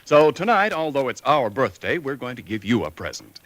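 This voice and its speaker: A man's voice: mid-range voice, sing-song, brisk, fairly bright tone, no echo. An American man working as a 1940s radio announcer, reading scripted sponsor copy in a polished, promotional manner.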